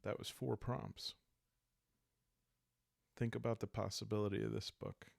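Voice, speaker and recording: A soft-spoken man with a deep voice that crackles with vocal fry narrates a solo roleplay at an unhurried, halting pace. His delivery is calm and meant for falling asleep. The sound is dry, with no room around it. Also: no echo. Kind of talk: calm improvised solo roleplay narration, sleep-aid ASMR.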